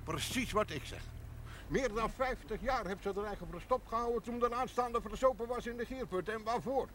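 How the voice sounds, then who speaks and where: A man's voice: very high-pitched, brisk, fairly bright tone, a little hiss or hum. A man playing a jolly, blunt old village man, teasing and folksy, in a television drama. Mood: jovial teasing. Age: older. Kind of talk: television period drama acting.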